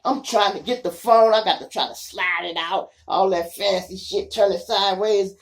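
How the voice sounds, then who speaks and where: A man with a Chicago accent and a gravelly voice, hosting a show and telling street stories in slangy, animated style, acting out the dialogue. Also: high-pitched, normal speed. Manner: animated, slangy, addressing listeners as y'all. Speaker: online show host and storyteller. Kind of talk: casual street storytelling with acted-out dialogue.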